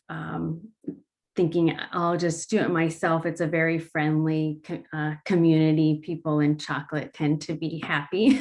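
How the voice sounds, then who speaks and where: A woman founder giving a casual pitch talk, speaking slowly with many filler ums. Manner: slow-talking, many ums. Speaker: startup founder presenting.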